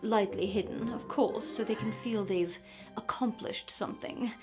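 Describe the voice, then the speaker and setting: A woman playing a mockingly polite smuggler boss villainess who taunts a captive with deliberate, condescending menace in a fantasy roleplay. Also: medium pitch, music in the background, lightly acted. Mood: smug scheming. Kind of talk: point-of-view fantasy roleplay.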